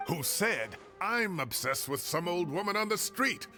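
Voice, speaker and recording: An actor playing a fussy, indignant retired professor in a video game, with a theatrical, huffy manner. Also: male voice, high voice, big pitch swings, measured pace, bright, forceful tone, faint music behind, heavily acted. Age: older. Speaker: game character.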